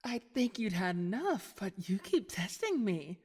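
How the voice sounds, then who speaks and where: A woman's voice: mid-range voice, big pitch swings, a little slow, background noise. A woman acting a sardonic, menacing enforcer in an audio drama. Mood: irritated menace. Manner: smug, sarcastic, threatening.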